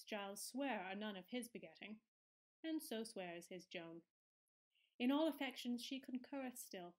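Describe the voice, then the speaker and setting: A woman reciting scripted comic verse in a measured, wry way. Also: on the high side.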